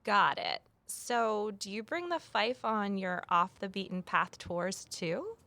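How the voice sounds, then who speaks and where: A woman's voice: medium pitch, animated, slow pace, gravelly, pushed and bright, no room sound, heavily acted. An actress playing a wry, curious office worker who narrates her own story in an audio drama. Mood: wry, skeptical. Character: wry, curious female former journalist, new office assistant. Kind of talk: audio drama, first-person narration and dialogue.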